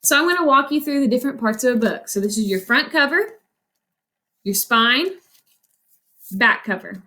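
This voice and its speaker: Woman, high pitch, schoolteacher, children's read-aloud lesson, instructive, question-and-answer delivery. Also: lively intonation, moderate pace, bright, forceful tone. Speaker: elementary school teacher.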